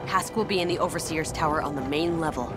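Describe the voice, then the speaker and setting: A determined woman commander played by an actress in a cinematic game scene. Her voice sits low, with the steady purpose of a soldier. Loud music and vehicle rumble run behind her. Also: fast talker, lightly acted. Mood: focused, resolute. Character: determined military woman, a rebel squad commander. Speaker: squad commander.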